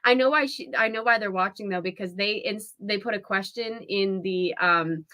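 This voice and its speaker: A young woman co-hosting a casual live stream, her voice raspy and hoarse from a busy weekend, bubbly and quick to giggle. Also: mid-range voice, bright, forceful tone. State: hoarse, voice nearly gone. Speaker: co-host.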